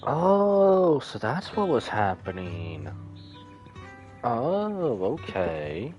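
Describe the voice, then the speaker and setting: A man's voice: medium pitch, huge pitch swings, extremely slow, muffled recording, soft background music. A man giving casual live commentary as he streams a video game.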